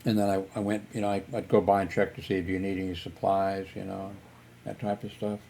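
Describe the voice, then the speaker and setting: A man's voice: low-pitched, level tone, brisk, creaky, mellow, a little hiss or hum. A man telling personal stories in a rambling vlog, filling gaps with "you know" and chuckling. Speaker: YouTuber. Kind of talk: casual storytelling vlog.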